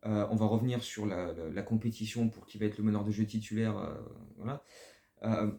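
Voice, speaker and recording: Guy talking hoops on his channel, kinda low mellow voice, keeps tripping over his words, roomy echo. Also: fairly even intonation, a little fast. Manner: rambling, stumbles and repeats words often. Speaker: basketball fan channel host. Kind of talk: casual spoken commentary.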